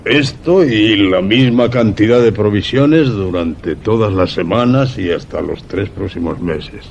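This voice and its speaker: An actor playing a gruff, uneasy deliveryman in a suspense radio drama, with a gravelly voice and a slow, deliberate delivery. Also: lowish voice, lo-fi sound, a little hiss or hum. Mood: matter-of-fact. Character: gruff working-class deliveryman in dirty overalls, uneasy. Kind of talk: Spanish-language suspense radio drama acting.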